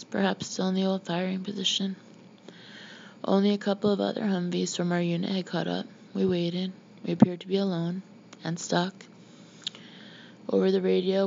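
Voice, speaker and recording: A woman narrates a memoir in the manner of an audiobook reader. Her voice sits on the low side with a lot of gravelly fry. The recording is a dull, homemade-sounding one. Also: a little mellow. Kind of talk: reading a memoir aloud, scripted narration. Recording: dull lo-fi home recording, background noise.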